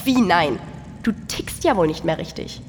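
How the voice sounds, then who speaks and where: A woman's voice: lowish voice, expressive, moderate pace, natural voice. A woman in a radio play acting a bossy, cynical, foul-mouthed female work boss with a brusque, scornful manner. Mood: outraged, scornful. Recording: long hall reverb, faint noise.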